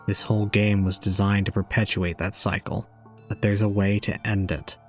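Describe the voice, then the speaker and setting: An actor playing a cold, calm young man who preaches despair with detached logic in an audio drama. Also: on the low side, moderate pace, soft background music, lightly acted. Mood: grim, bleak.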